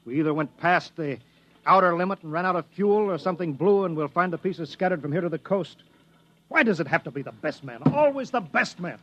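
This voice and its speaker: A middle-aged man acting a gruff, anxious, guilt-ridden rocket program boss in a 1950s radio drama, speaking with urgent emphasis. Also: a little high, expressive, brisk, fairly bright tone. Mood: weary, grieving. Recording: dull 1950s radio recording.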